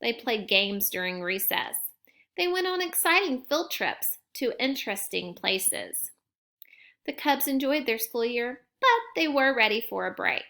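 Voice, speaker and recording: A woman's voice: fairly high pitch, expressive, a little slow, vocal fry, bright, forceful tone. A woman reading a children's picture book aloud like a teacher to young children.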